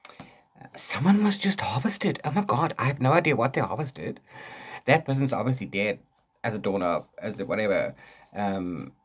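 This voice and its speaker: A man with a mid-pitched voice that swings widely up and down. He chats casually like a book vlogger, wandering through his thoughts, repeating words and breaking off to start again. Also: animated, moderate pace, breathy edge. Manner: rambling and self-interrupting, repeats words. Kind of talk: casual book chat to camera.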